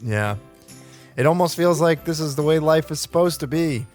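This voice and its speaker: A man's voice: a little high, moderate pace, darkish tone, soft background music, close to an everyday voice. A man playing a mild, agreeable husband and father in a comedic table read. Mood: mildly pleasant.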